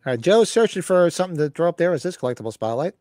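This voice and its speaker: A man's voice: a little high, animated, very fast, darkish tone, no room sound, clean studio sound. A man co-hosting a casual podcast about horror collectibles, his voice scratchy and fading as he rambles. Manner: rambling, upbeat, clears his throat. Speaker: podcast co-host.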